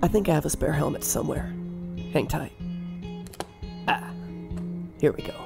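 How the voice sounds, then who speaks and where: A man's voice: on the high side, moderate pace, creaky, over music. A man playing a laid-back, chivalrous young biker in an audio drama, cool and laconic. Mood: casual.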